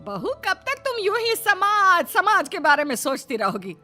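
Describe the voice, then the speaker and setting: A woman's voice: very high-pitched, big pitch swings, unhurried, pushed and bright, soft background music, full character voice. A woman playing a wounded but determined elderly widowed mother in a melodramatic family story, impassioned and pleading. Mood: defiant.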